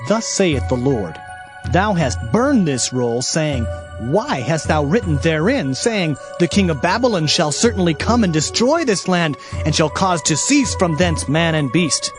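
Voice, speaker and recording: Casting: a prophet proclaiming judgment, stern and declamatory, fairly high pitch, music underneath. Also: male voice, very animated, a little slow. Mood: stern, condemning. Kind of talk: dramatized scripture reading.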